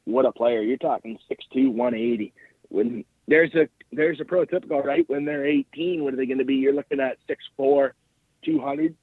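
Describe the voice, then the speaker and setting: A man's voice: mid-range voice, sing-song, moderate pace, vocal fry, breathy edge, dry recording. A man with an Ontario Canadian accent giving casual, enthusiastic sports analysis as a guest caller, often checking his points with "right?". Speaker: podcast host calling in as guest analyst. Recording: narrow phone-line call.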